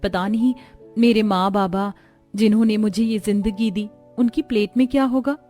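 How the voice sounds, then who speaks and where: A meek young daughter-in-law from a cartoon , played by a woman, timid and plaintive. Her voice is medium-pitched, with music playing behind it. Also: steady tone, moderate pace, mellow, natural voice. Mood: sad, timid. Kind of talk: cartoon story voice acting.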